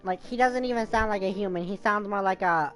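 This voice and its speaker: A woman's voice: medium pitch, brisk, faint music behind. A woman giving casual, spontaneous reactions as she plays through a game. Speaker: game streamer.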